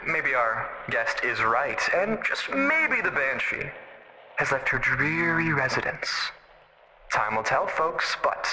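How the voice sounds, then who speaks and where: A man's voice: fairly high pitch, background noise, pitched above the performer's own voice, heavily acted. An actor playing a theatrical young late-night radio host, talking in a showman's patter in an audio drama. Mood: showy.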